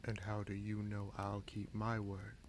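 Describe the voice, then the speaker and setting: A man playing a vengeful, brooding bastard prince and sorcerer in a fantasy audio roleplay, speaking in a measured, solemn, halting way. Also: lowish voice, monotone, noisy background. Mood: solemn, earnest.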